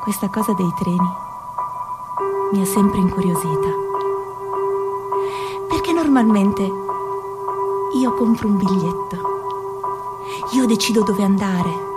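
A woman performing theatrical narration for a radio piece, with expressive swings in pitch and loudness. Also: takes it slowly, long pauses, over loud music.

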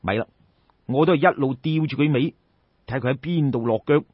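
A man's voice: mid-range voice, moderate pace, husky, dry recording, highs cut off, lightly acted. A man voicing a cautious, calculating soldier thinking to himself in a Cantonese audiobook story. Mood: wary, deliberating. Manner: musing, wary.

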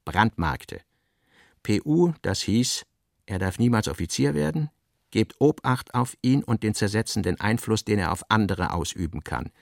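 A male narrator reads literary prose for a radio play. His voice sits on the low side with plenty of gravelly fry, and the sound is very dry, with no room around it. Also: dry recording.

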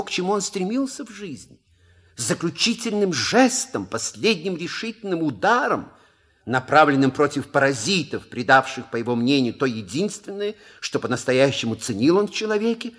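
Radio comedy: a sly manservant, urbane and ironic, husky voice with wide swings in pitch. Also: male voice, sing-song, normal speed, fairly bright tone, heavily acted. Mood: impassioned. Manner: urbane, ironic, elaborately polite. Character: Sebastien, suave sly manservant, politely ironic. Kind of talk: theatrical radio play acting.

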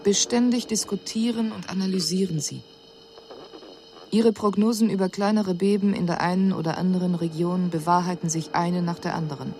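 Woman acting as an alien observer in a radio play, lowish voice, measured and cool, slight background noise. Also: steady tone, darkish tone, natural voice. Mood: cool, concerned. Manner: measured, formal, precise. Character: female alien emissary, cool observer puzzled by human inaction. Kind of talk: scripted radio drama report.

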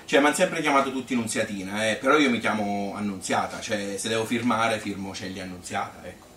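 A man's voice: fairly low pitch, a little fast, a bit bright, echoey room, recorded from a distance, a little hiss or hum, close to an everyday voice. An actor playing an elderly Tuscan grandmother who reminisces in a rambling, repetitive, colloquial way, as a theatrical monologue. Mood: reminiscing. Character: elderly Tuscan grandmother Annunziata reminiscing about her hard childhood. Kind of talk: theatrical monologue, spontaneous spoken storytelling.

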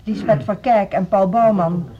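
An actress playing a composed, businesslike woman in charge of a music school meeting, measured and formal, in a radio drama. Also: medium pitch, highs cut off, close to an everyday voice. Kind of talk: naturalistic Dutch radio drama acting.

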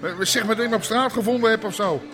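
An actor playing a domineering middle-aged crime boss in a radio drama, gruff, bossy and swearing. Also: male voice, sits high, fast talker, music in the background, lightly acted. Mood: irritated. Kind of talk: naturalistic radio drama acting.

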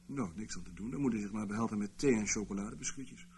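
A man's voice: on the low side, fast talker, darkish tone, slight background noise, lightly acted. A man acting in a radio play as a wry, officious senior civil servant in intelligence, urbane and mock-regretful. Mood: flustered, droll.

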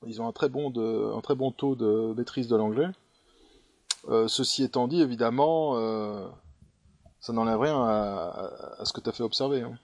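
A man's voice: medium pitch, normal speed, no echo. A man hosting a panel discussion, speaking casually with frequent hesitations. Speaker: host.

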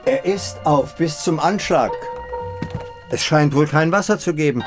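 An old husband played by a male actor speaks with calm resignation and tenderness. His lines are short and plain, in the intimate manner of a radio play, with music clearly behind him. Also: a little high, unhurried, natural voice. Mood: matter-of-fact. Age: older. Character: elderly husband, resigned, tender, calmly facing the end. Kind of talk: German radio drama acting.

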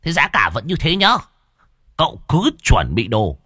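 A man playing a jolly, greedy, crude old trader with an excitable, slangy manner. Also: mid-range voice, unhurried, breathy edge, dry recording, studio quality, voice pushed up, heavily acted.

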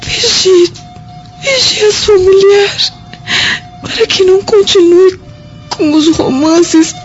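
Dying old mother, halting and very slow. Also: very high-pitched, heavily acted. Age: older. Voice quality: weak breathy voice breaking into whispers. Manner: slow, halting, repeats words. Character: delirious dying old mother, guilt-ridden and anguished. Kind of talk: melodramatic radio drama acting. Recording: dull radio drama audio with music.

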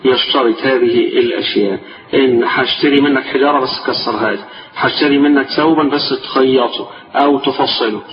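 Tired-voiced Egyptian sheikh in an echoey hall. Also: male voice, medium pitch. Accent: Egyptian Arabic. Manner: reads a text slowly, then explains it in colloquial speech, repeating key phrases. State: tired, strained voice. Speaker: sheikh teaching a class. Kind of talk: religious lesson, reading a text and explaining it. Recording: distant, echoey, dull-sounding lecture recording with music.

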